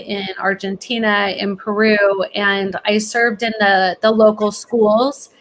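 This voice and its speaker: A middle-aged woman from California answers interview questions with steady, encouraging confidence. Her voice sits a little high and stays upbeat and assured. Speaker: guest, college planning executive.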